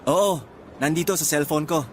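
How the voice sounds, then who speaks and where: A man's voice: a little high, moderate pace, natural voice. An actor with a Filipino accent playing an earnest, wistful young man traveling abroad, in conversational radio drama dialogue. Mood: playful, curious.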